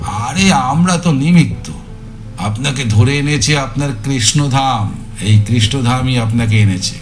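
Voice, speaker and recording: A man's voice: medium pitch, unhurried, faint music behind, close to an everyday voice. A man playing a talkative amateur sleuth in a dramatised audio story, emphatic and theatrical, repeating his words as he teases and coaxes. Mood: earnest, coaxing.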